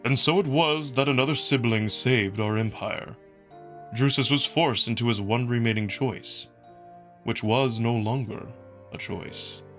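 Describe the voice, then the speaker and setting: A man's voice: mid-range voice, unhurried, fairly bright tone, soft background music, natural voice. A man voicing a large male beast noble in a visual novel, earnest and theatrical, with a grand, declaiming manner. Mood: solemn, grand.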